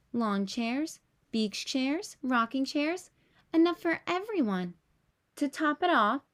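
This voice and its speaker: Female storyteller, high-pitched, expressive read-aloud for young children.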